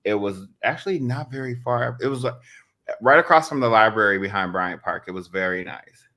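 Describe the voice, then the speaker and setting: A man speaks in a fairly low register as a podcast co-host. His talk is casual and slangy, in the manner of everyday conversation. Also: expressive, a little fast, bright, forceful tone. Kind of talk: casual chat.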